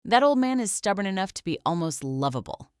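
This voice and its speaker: Woman voicing a gentle, clever teenage girl, lively pitch. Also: a little fast, clear, resonant tone, no room sound, clean studio sound, natural voice. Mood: calm explaining. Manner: soft, calm, composed. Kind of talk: audiobook fantasy character dialogue.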